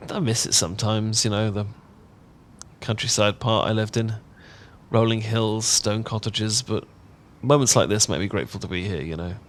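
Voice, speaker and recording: A man's voice: on the low side, a bit bright, slight background noise. A man with an English accent acting a bashful, affectionate young roommate in an intimate ASMR roleplay, chatty and stammering when flustered. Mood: fond, reflective. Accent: British, English. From England. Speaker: audio roleplay voice actor.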